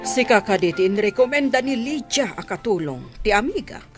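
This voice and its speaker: A woman's voice: mid-range voice, expressive, normal speed, music in the background, natural voice. A woman playing a haughty, bossy rich madam of a mansion in an Ilocano radio drama, scolding and giving imperious orders. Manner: imperious, scolding, clipped commands.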